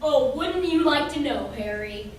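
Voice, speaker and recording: A woman's voice: sits high, animated, measured pace. A young female performer playing a wry male police detective in an amateur old-time radio show. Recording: very echoey hall, distant mic, faint noise.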